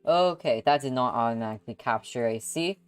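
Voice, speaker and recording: Animated low-voiced woman streamer. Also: brisk, clear, resonant tone, no room sound, faint music behind. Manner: excitable, exclamatory. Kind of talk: casual livestream chat while reading visual novels.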